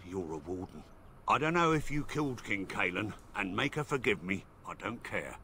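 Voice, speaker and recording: Game casting: a desperate, menacing peasant bounty seeker, gravelly and forceful, blunt rustic delivery. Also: male voice, on the low side, very animated, normal speed, a little hiss or hum, full character voice. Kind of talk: video game voice acting.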